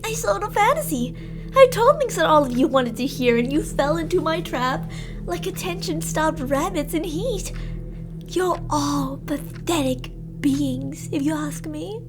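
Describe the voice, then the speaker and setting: A woman playing an unhinged, gloating cannibal killer in a scripted ASMR roleplay, with a mock-sweet, theatrical, taunting and drawn-out delivery. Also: high-pitched, expressive, over music. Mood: chilling, contemptuous.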